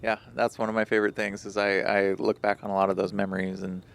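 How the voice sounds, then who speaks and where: A man speaking as a podcast guest, soft-spoken and reflective in a casual chat. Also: fairly low pitch, level tone, fast talker, vocal fry.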